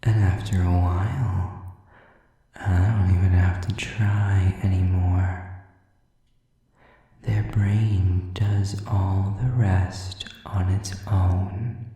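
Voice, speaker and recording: A man playing a sadistic, contemptuous demon in an audio roleplay, whispering slowly and breathily with taunting condescension. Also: low-pitched, steady tone, warm tone. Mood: smug menace. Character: sadistic, contemptuous male demon preying on humans. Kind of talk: whispered dramatic monologue to the listener. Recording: distant, very echoey, muffled sound.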